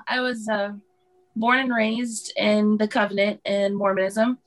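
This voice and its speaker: A woman's voice: medium pitch, level tone, slow pace, rough recording. A woman, a podcast guest telling her story in a hesitant way, with many ums and restarts. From Hawaii. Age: 30s. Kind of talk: casual interview.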